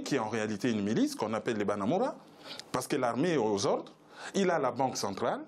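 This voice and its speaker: Man on a debate panel, highish voice, really animated and emphatic. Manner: emphatic, rhetorical, uses repetition. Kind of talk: political debate commentary.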